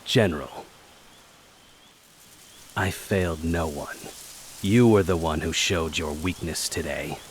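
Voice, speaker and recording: A man's voice: low voice, slow, pauses often, background noise. A man playing an arrogant, ruthless army captain in a video game, cold and scornful. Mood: cold menace. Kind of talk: video game cutscene acting.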